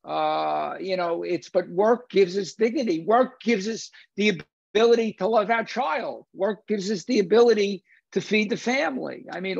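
A man's voice: sits high, vocal fry, darkish tone, husky, no echo. An older man hosting a film discussion on a video call, emphatic and repeating phrases for stress. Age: older, 60s or 70s. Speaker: film discussion host and lecturer. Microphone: video call, computer at desk distance. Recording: dull lo-fi video-call audio.

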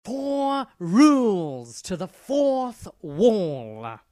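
A man voicing a patient but superior cartoon prisoner, measured and exasperated as he explains things in comedy dialogue. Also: a little high, sing-song, dry recording, studio quality. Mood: didactic, exasperated.

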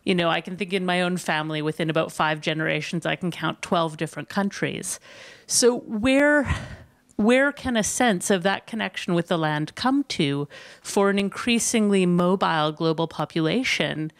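Canadian woman in the audience, slightly low voice, dry sound. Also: normal speed, no echo. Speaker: audience member asking a question. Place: lecture room audience.

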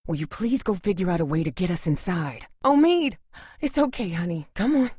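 Tough distrustful female survivor, fairly low pitch, protective, guarded, sardonic, naturalistic video game drama, dry sound. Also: expressive, moderate pace, dark tone, slightly rough, dry recording. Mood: urgent, worried.

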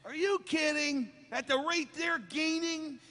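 Very high, sharp, goofy cartoon princess. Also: male voice, measured pace, full character voice. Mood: whiny. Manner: goofy, exaggerated. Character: silly high-voiced cartoon character playing a defiant space princess. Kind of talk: live comic script reading.

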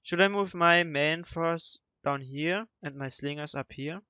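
A young man talks through a strategy game in a casual way, restarting his sentences and grumbling mildly. His voice is clean and smooth, at a middling pitch. The headset sound is very dry and slightly dull. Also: unhurried, sharp tone, no echo. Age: young adult. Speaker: gamer commentating co-op strategy play. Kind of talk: casual gameplay chat. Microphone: headset microphone.

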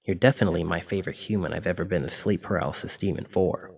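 A man playing a kindly, apologetic sleep paralysis demon in an ASMR roleplay, speaking in a gentle, reassuring, informal way. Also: low-pitched, quick pace, vocal fry, slightly rough, distant mic, heavily acted. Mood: chatty, fond.

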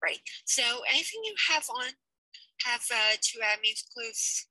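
Bright young woman on a headset. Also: a little high, big pitch swings, moderate pace, no echo, rough recording. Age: young adult. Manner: chatty, loose, with fillers. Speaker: project lead of a fan dub. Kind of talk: casual video call update. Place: small room indoors.

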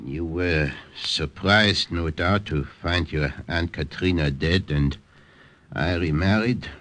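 A hearty older Dutch uncle in a vintage radio play. His voice is fairly low yet bright and forceful, with emphatic, exclamatory phrasing and a quick bark under the fondness. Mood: somber. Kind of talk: old-time radio drama acting. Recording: vintage radio drama recording.

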